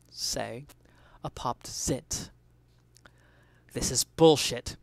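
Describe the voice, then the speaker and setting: Young male streamer, higher-voiced, theatrical and mock-indignant essay reading, dry close headset sound. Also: fairly bright tone, no echo. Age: young adult. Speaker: streamer reading an article aloud. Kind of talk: dramatic reading of an opinion essay. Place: small indoor room at home.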